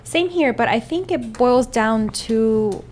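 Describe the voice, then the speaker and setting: A woman's voice: a little high, lively intonation, slow, a little mellow. 30s woman chatting easy, keeps tagging "right?", little bit of background hiss. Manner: agreeable, often adds "right?". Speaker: real estate podcast co-host. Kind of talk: casual conversational chat.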